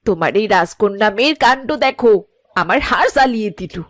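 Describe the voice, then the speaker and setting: A woman plays an exasperated village mother in a cartoon. She is middle-aged and sharp-tongued, nagging with emphatic, very lively swings of pitch at an ordinary female register. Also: a little fast, no room sound, faint music behind, close to an everyday voice. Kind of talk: animated cartoon voice acting.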